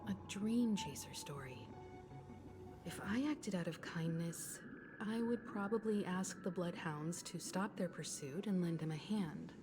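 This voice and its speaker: A woman voicing a composed, thoughtful female companion in a video game, speaking in a measured, reflective way. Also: on the low side, close to an everyday voice. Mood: composed, pondering. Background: game music.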